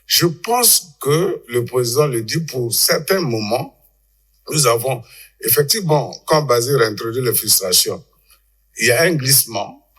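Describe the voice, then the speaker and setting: A man giving unscripted political analysis as a panel guest, haltingly, repeating words as he argues. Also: medium pitch, lively intonation, fairly bright tone.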